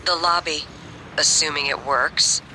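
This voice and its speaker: A woman's voice: deep voice, steady tone, unhurried, pushed and bright, slight background noise, full character voice, poor audio. A woman playing a cool, teasing smuggler in a video game, with a terse, patronising manner. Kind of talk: scripted game dialogue.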